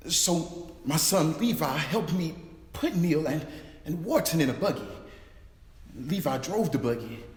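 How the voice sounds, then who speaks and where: A man acting as a plainspoken father in a dramatic reading, recalling what he witnessed in a halting manner. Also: a little high, animated. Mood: earnest, halting. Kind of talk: dramatic reading of historical testimony. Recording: echoey hall.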